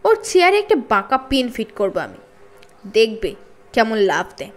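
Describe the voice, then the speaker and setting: A woman's voice: on the high side, huge pitch swings, moderate pace, faint music behind. A woman voicing cheeky, defiant schoolboys in a children's storybook reading, boastful and bold. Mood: boastful defiance.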